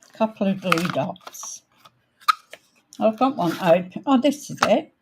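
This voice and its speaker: A woman with a croaky voice hosting a casual live craft demonstration, thinking aloud as she works and sometimes clearing her throat. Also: medium pitch, animated, background noise. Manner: unhurried, thinking aloud while working. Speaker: craft tutorial host.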